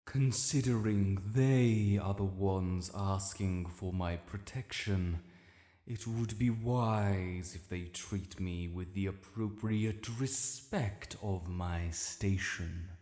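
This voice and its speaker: A man's voice: deep voice, takes it slowly, warm tone. A man with a British accent playing an ancient, proud male dragon lord in a fantasy audio roleplay, speaking formally and imperiously to the listener. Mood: offended, proud. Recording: roomy with long cavernous reverb.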